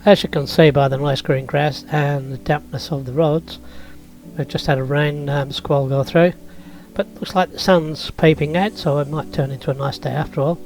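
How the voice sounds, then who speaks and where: A man, hoarse and croaky from being sick, casually narrating a road trip and drone flight with easygoing fillers. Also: on the high side, steady tone, moderate pace, a little mellow, faint music behind. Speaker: vlog host. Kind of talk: casual travel vlog narration.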